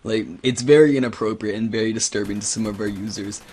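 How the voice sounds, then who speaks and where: A man's voice: on the low side, steady tone, fast talker, darkish tone, a little hiss or hum, lightly acted. A man playing a stern, officious website manager on a prank phone call, speaking in hedging official phrases. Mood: mock concern. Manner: mock-formal, hedging with official-sounding phrases. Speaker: prank caller.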